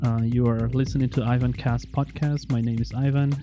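A man in his forties hosting a podcast, speaking English as a second language, enthusiastic and halting with frequent restarts. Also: medium pitch, level tone, over music. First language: not English. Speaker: podcast host. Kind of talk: casual interview chat.

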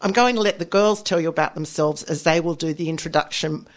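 A woman's voice: deep voice, quick pace. A middle-aged woman, hosting a radio program in a studio and speaking in a bubbly, effusive way. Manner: bubbly and effusive, with lively emphasis. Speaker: radio host.

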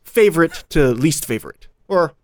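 A man's voice: fairly high pitch, lively intonation, moderate pace, a little mellow, no echo, studio quality. A man in his thirties co-hosting a podcast, joking and teasing in casual chat. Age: 30s. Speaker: podcast co-host.

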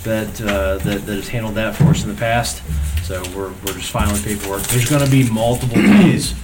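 Hesitant low-voiced man, distant and noisy. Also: brisk. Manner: hesitant, many ums and restarts. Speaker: township zoning staff member. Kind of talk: reporting at a public board meeting. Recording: distant mic, noisy room.

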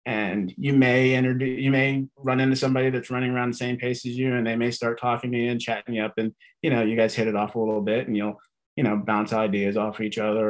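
A man's voice: on the low side, rough recording. A man on a panel talk telling a story at length, acting out quoted lines, with many fillers. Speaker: panel guest. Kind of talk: casual panel discussion.

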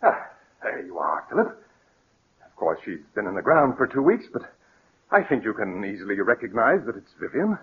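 An actor playing a scheming, falsely solicitous murderer in a 1940s radio mystery, with theatrical, hesitant politeness and a coaxing undertone. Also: male voice, on the low side, big pitch swings, moderate pace, slightly rough, exaggerated voice. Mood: uneasy, insistent. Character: smooth, scheming male murderer, nervy yet falsely solicitous. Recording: muffled, lo-fi 1940s radio recording.

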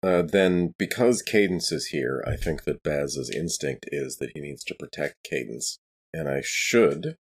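A man's voice: extremely low pitch, animated, a little slow, husky. A man playing a tabletop game, talking through his options and checking the rules aloud. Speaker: player. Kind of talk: tabletop roleplay chat.

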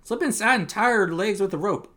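A man's voice: sits high, brisk, pushed and bright, pitched above the performer's own voice. A man voicing plucky, bossy young duckling boys while reading a comic story aloud. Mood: determined. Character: eager boy duckling triplets, bossy and plucky.

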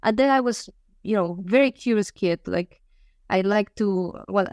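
Clear-voiced Spanish-accented woman. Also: mid-range voice, measured pace, darkish tone, no room sound, clean studio sound. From Spain. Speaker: co-host, engineering professor. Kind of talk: conversational podcast talk.

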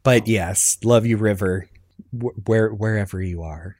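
A man's voice: fairly low pitch, unhurried, darkish tone, no room sound. Male podcast host, Southern US accent, effusive and rambling, informal delivery, dry studio-close sound. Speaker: podcast host and amateur voice actor. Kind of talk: casual nostalgic chat.

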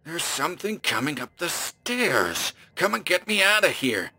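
A man's voice: very animated, unhurried, bright, forceful tone, slightly rough, full character voice. A man doing a panicked trapped radio announcer. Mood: terrified. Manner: frantic, pleading, rising to shrill panic. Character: terrified male radio announcer chained in a house. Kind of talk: audiobook character voice.

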